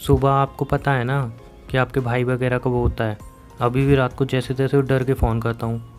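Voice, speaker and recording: A man's voice: medium pitch, flat delivery, normal speed. A young man with an Indian accent chatting casually and intimately on a phone call, insistently asking questions. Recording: phone call recording, faint music.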